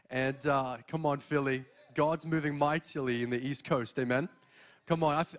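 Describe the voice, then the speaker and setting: High-voiced young Australian preacher. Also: male voice. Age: young adult. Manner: energetic, exhorting, walks the stage. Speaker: guest preacher. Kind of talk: sermon. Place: church stage. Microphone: handheld mic.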